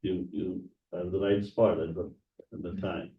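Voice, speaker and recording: A man's voice: deep voice, little pitch movement, a little slow, gravelly. A man leading the session, giving short, encouraging replies and asking students questions. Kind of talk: casual classroom talk. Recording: distant, roomy and dull.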